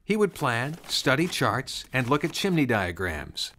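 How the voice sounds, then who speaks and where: A man's voice: mid-range voice, sing-song, measured pace, pushed and bright, slight background noise. A man reading scripted storybook narration of a Christmas tale. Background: music.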